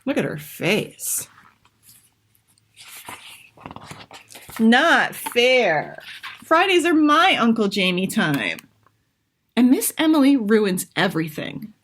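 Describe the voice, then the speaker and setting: A woman voicing a spirited, stubborn, inventive young girl with an expressive, dramatic manner in a children's picture book. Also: fairly high pitch, slow pace, exaggerated voice. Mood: grumpy.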